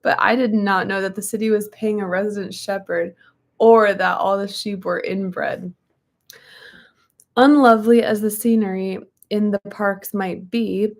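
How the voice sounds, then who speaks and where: Hoarse, chatty young woman. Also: mid-range voice. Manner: chatty, rambling, frequent ums and likes. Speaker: host reading a book aloud. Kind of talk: casual chat and reading aloud.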